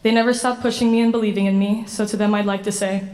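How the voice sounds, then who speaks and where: A young woman from Sweden delivers a prepared award acceptance speech in an earnest, steady way. Her voice sits at a middle pitch and stays fairly flat, with little rise and fall. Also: quick pace. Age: 20s. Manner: earnest, steady, slightly read-aloud delivery. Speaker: award recipient giving a speech.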